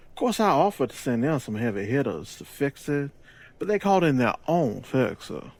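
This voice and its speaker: An actor playing a smooth gangster boss in an audio drama, with a deliberate, self-assured, persuasive delivery and sly wit. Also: male voice, medium pitch, big pitch swings. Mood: confiding, persuasive.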